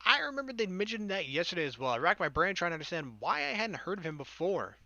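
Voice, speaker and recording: A man's voice: high-pitched, very animated, fast talker, gravelly, bright, forceful tone, no echo, dull audio. A man reading a visual novel aloud as a let's player, breaking into casual, sarcastic commentary.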